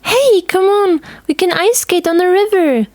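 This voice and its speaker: A woman's voice: extremely high pitch, measured pace, no echo, pitched above the performer's own voice. A woman voicing a playful, fearless young girl who teases her friend in a storybook audio play. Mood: excited. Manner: exuberant, teasing.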